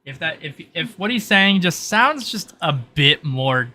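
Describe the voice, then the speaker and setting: A man running a tabletop roleplay game as game master, halting and repeating himself as he explains. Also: a little high, sing-song, sharp tone. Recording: clear background noise.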